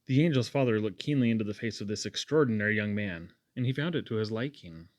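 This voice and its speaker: A man's voice: fairly low pitch, quick pace, a little mellow, dry recording, studio quality. A man reading a novel aloud as a storybook narrator. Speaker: audiobook reader.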